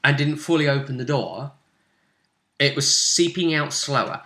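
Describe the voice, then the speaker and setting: A British man with a bright, forceful voice at a middle pitch. He hosts as game master, chatting casually through a tabletop session recap and breaking into animated asides. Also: unhurried. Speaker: game master, host of a tabletop RPG vidcast.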